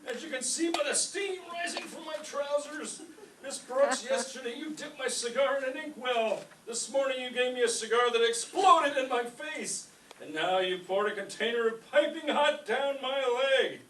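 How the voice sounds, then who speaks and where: A man's voice: extremely high pitch, moderate pace, bright, forceful tone, distant mic. A man playing a blustering, irascible middle-aged school principal in a staged old-time radio comedy. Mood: exasperated outrage. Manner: gruff, pompous, demanding. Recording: echoey stage, off mic, faint noise.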